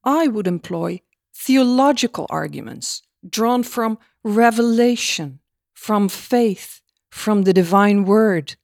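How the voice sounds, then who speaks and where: Lady doing a sly old-school priest from a novel, fake humble, drawn out and creaky, kinda preachy. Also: medium pitch, expressive, slow pace, darkish tone, dry recording, clean studio sound. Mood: ironic lament. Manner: theatrical mock-humble oratory. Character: pompous, sly male priest feigning humility.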